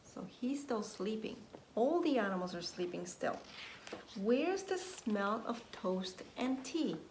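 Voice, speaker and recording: A woman nursery teacher reading a story aloud to young children in an expressive, deliberate way, prompting them with questions. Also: a little high. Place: indoors at home. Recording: roomy echo, faint background noise, home recording.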